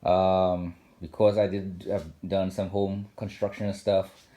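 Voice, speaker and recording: A man with a deep, low-pitched voice talks casually as a home vlogger. His delivery is unscripted and halting, wandering through points with frequent ums and corrections as he goes. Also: little pitch movement, warm tone. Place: home theater room indoors.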